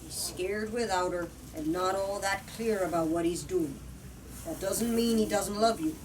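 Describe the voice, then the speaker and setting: Lady reading as a calm storybook dad, low and quiet, firm but gentle, bit far from mic. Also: a little slow, a little hiss or hum, lightly acted. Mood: grave, gentle. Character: calm, quietly firm, protective father, a grown man.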